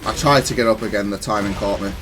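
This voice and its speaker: A man's voice: fairly low pitch, quick pace. Casual man analysing his own gameplay over music. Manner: self-critical, matter-of-fact, even-tempered. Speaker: gaming content creator commentating on own matches.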